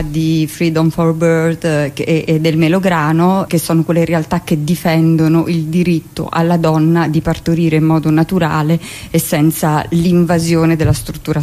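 A woman's voice: low-pitched, a little mellow. A woman actress, chatting in a radio interview, laughing often and acting out quoted lines. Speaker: actress and guest.

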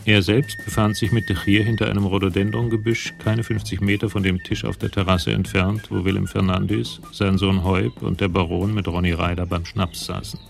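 A sober crime story narrator with a low, heavily creaking voice. He tells events matter-of-factly in scripted radio drama style, over clearly audible music. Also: flat delivery, normal speed, few pauses. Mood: sober narration.

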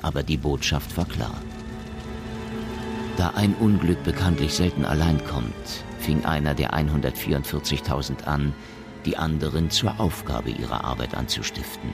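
A man narrating a science fiction radio drama in a measured, matter-of-fact way. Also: a bass voice, gravelly, over music. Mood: grave.

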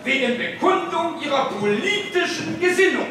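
An actor playing an accusing opposition deputy in a radio drama, declaiming insistent questions in parliament. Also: male voice, extremely high pitch, animated, normal speed. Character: accusing male left-wing opposition deputy addressing parliament. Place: large echoing chamber. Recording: echoey hall, distant, noisy ambience.

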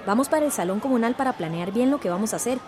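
An actress playing a level-headed community organizer in a radio drama, directive and reassuring. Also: female voice, on the high side, animated, racing, noisy background, natural voice. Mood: serious, urging.

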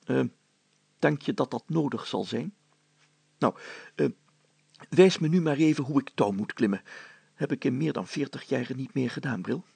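A fussy older male mayor from a children's storybook, acted out by a male narrator. His voice is very gravelly and husky, raised above an everyday register. He is flustered and self-pitying, hesitating and clearing his throat as he bumbles along. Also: mid-range voice, lively intonation, moderate pace, long pauses, a little mellow, dry recording, voice pushed up.

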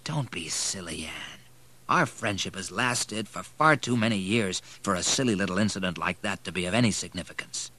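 A man voicing a kindly, loyal banker friend in an audiobook, gentle and soothing. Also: fairly low pitch, fairly quick, few pauses. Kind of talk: audiobook character voice.

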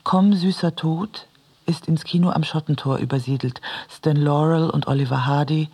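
A man reading literary prose for a radio drama in a measured, deliberate way. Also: fairly high pitch, steady tone, a little mellow, slightly rough. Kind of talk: literary narration, radio play.

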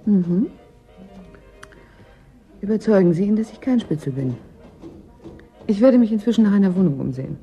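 A woman's voice: mid-range voice, expressive, normal speed, pauses often, dark tone, muffled recording, faint music behind, lightly acted. A woman playing a shrewd, self-assured professional on a covert assignment in a German radio drama, cool and businesslike.

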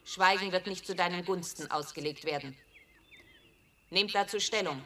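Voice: mid-range voice, moderate pace, pushed and bright. A performer playing an officious animal judge in a radio play, speaking formally, pompously and strictly. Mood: stern, formal. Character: officious animal judge presiding over a trial. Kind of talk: German radio play acting. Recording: slightly noisy vintage radio recording.

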